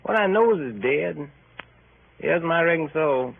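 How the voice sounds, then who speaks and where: Gravelly, high-pitched Southern manservant. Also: male voice, lively intonation, a little slow, full character voice. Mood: musing. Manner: folksy, deferential, musing. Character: easygoing, folksy, deferential male servant. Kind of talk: old-time radio comedy acting. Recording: tinny old radio broadcast.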